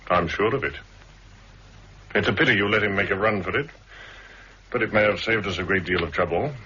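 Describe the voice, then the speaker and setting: Low-voiced, commanding British brigadier. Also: male voice, sharp tone, slightly rough. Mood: brisk, satisfied. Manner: terse, commanding, measured. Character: brisk, authoritative senior army brigadier. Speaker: actor in a radio drama. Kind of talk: 1960s British radio drama acting. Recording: muffled, hissy 1960s radio recording.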